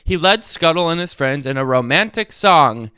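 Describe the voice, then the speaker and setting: A man reading a children's story aloud in an expressive way, as a storyteller speaking directly to young listeners. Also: on the high side, normal speed, smooth, dry recording, studio quality. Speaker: storyteller, host of a read-along channel. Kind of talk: animated children's read-aloud with short asides.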